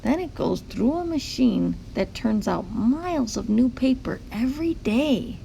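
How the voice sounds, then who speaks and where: A woman's voice: on the high side, expressive, a little slow, gravelly, mellow, slight background noise, low-quality recording. A woman reading a picture book aloud to young children, explaining things simply like a teacher. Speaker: teacher-like read-aloud host.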